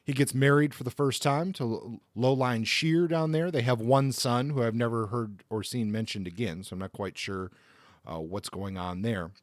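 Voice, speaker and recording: A man hosting a podcast, narrating informally in a halting, conversational way. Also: mid-range voice, no room sound, studio quality. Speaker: podcast host.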